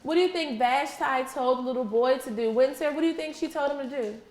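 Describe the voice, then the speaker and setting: High-voiced woman teacher reading aloud, echoey room. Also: quick pace, darkish tone. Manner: repeats children's answers and asks prompting questions; encouraging, patient teacher talk. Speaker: preschool teacher. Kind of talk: interactive read-aloud to young children. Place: indoor classroom with children. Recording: echoey room with faint background noise.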